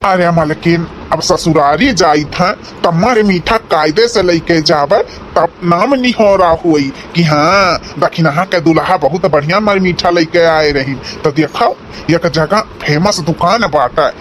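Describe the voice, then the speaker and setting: Guy doing wheedling village husband in a cartoon, awadhi accent, kinda high voice, bit of background noise. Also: measured pace, fairly bright tone. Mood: coaxing. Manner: rustic, placating, explanatory. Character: wheedling village husband, son and brother in family comedy. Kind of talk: rural cartoon comedy dialogue.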